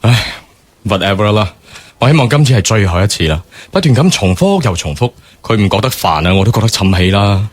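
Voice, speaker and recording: Deep-voiced impatient, arrogant businessman. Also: animated, fairly quick, no echo. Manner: dismissive, offhand. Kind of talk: radio drama acting.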